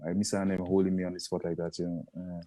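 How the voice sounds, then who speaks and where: A man's voice: low-pitched, monotone, quick pace, warm tone. A young man with a Caribbean accent, a guest comedian chatting casually and rambling as he searches for words. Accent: Caribbean English. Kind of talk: casual chat.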